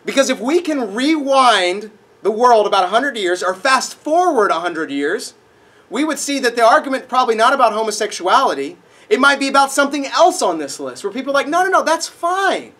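A young man preaching a sermon in a dark auditorium, a little nasal from a cold, animated and acting out voices in his stories. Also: very high voice, brisk. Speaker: pastor. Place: dark auditorium with projected screen.

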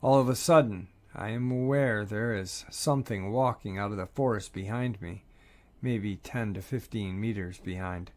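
Low-voiced man reading eerie stories. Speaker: narrator reading listener encounter stories. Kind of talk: scripted narration of first-person accounts.

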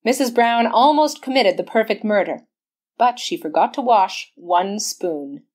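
A woman playing a brisk, shrewd homicide sergeant. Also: medium pitch, animated, a little mellow. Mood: explaining. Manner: matter-of-fact, knowing. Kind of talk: old-time radio mystery acting.

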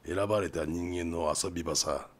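A man playing a worldly middle-aged underworld insider in a game cutscene, explaining things in knowing, rough colloquial speech. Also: low voice, fairly even intonation, moderate pace. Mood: knowing, sly. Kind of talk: Japanese video game cutscene acting.

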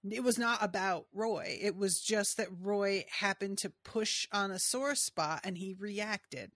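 A woman's voice: medium pitch, unhurried, a bit bright, no room sound, clean studio sound. A woman co-hosting a podcast, talking casually and trailing off into asides. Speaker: podcast co-host. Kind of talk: casual recap chat about a TV episode.

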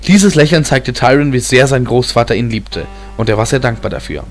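A man with a mid-pitched voice narrates a fantasy audiobook. His delivery is scripted and dramatic, and music plays clearly behind him. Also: animated, brisk. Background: music bed.

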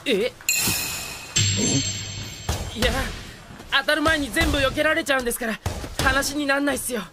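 A woman's voice: sits high, normal speed, close to an everyday voice. A woman voicing a timid, eager teenage boxing novice. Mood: flustered. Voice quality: hesitant, polite and flustered. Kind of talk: anime dub acting. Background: background music.

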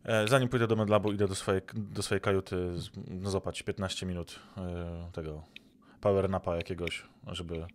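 A man with a deep voice describes his character's actions in a tabletop role-playing game. There is noticeable noise in the recording behind him. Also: fairly even intonation, normal speed.

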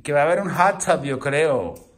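A man's voice: a little high, animated, moderate pace. A middle-aged man in a home living room, chatting with his children and patiently coaxing them with questions, recorded by a camera across the room. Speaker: parent vlogger. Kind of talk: casual family chat.

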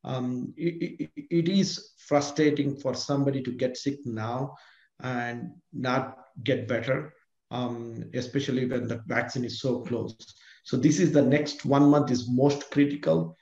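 A man's voice: mid-range voice, steady tone, a little slow, gravelly. Man kidney doc on a zoom call, encouraging, echoey room, dull audio. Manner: warm, encouraging, reassuring. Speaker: nephrologist. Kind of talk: panel discussion. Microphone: video call.

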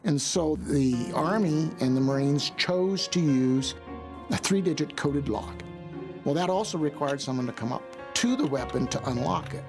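A man's voice: on the high side, normal speed, over music. An American man explaining as an expert in a documentary interview.

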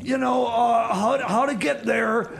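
A man's voice: very high-pitched, fairly even intonation, measured pace, vocal fry, a crowd in the background, heavily acted. A man playing a flustered, long-winded radio DJ in comedy radio theater, stammering and repeating words. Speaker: radio DJ and show host.

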